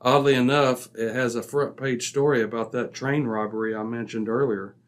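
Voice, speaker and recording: Male actor as composed British sleuth, brisk, exact, self-assured, classic radio mystery style. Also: mid-range voice, fairly even intonation, natural voice. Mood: calm, wry. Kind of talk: old-time radio mystery acting.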